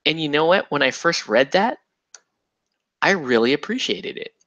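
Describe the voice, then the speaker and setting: A chatty man hosting a model-building show, with a bright, forceful tone. He rambles and jokes along, full of "uh" and "like," and the sound is dry and close, with no room echo. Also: on the high side, very animated, moderate pace, dull audio. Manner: rambling and jokey, with lots of fillers; breaks into laughter and puts on silly voices. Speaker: miniatures hobby host. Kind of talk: casual chat while building models.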